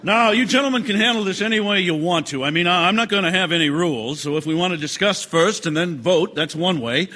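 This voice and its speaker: A fussy male jury foreman in a radio play. His voice is high and sharp-edged. He organizes politely and hesitantly, never quite commanding. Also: fairly quick, few pauses. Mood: procedural, unsure. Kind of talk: stage radio drama acting.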